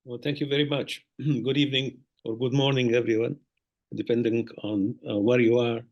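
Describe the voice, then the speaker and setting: A male professor opens his remarks in a polite, formal and very even tone with little pitch movement. The sound is dull and lo-fi, as if his microphone sits some way off. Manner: polite, formal, measured with "uh" fillers. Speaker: professor, panel guest.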